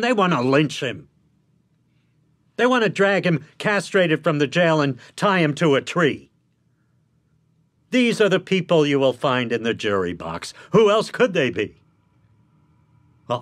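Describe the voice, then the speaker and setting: An actor playing a shrewd, blunt defence lawyer in a radio drama, lecturing in an emphatic, articulate way. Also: male voice, on the high side, fairly bright tone. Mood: forceful, persuading.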